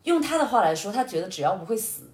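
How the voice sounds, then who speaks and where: A woman chatting casually as a podcast guest, telling personal stories in an animated, reflective way and explaining things step by step. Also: mid-range voice, fast talker. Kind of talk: casual conversational personal storytelling. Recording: roomy, echoing indoor sound.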